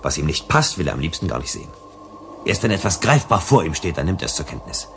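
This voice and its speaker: A man's voice: deep voice, sing-song, fast talker, fairly bright tone, a little hiss or hum, full character voice. An actor playing a principled, cautious male psychologist in a sci-fi radio play, emphatic and lecturing. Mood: thoughtful. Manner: emphatic, didactic.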